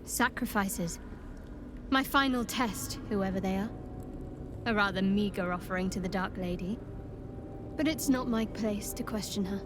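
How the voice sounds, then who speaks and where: An actress playing a proud, guarded young priestess of darkness in a video game, haughty and measured with dramatic pauses. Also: female voice, mid-range voice, background noise, natural voice. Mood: proud, resolute.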